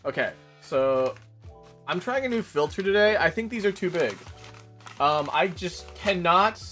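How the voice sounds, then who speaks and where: Man, casual stream chat, rambling, very bouncy pitch, soft music behind. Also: animated, unhurried. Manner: chatty, rambling, talks to chat; making coffee while talking.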